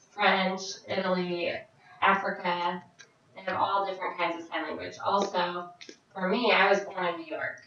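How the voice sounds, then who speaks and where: A woman voicing a signed question-and-answer vlog as an interpreter, speaking in short, halting phrases with frequent restarts. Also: lowish voice, a bit bright, a little hiss or hum. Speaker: sign language interpreter voicing a Deaf vlogger. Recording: very echoey, distant, dull sound.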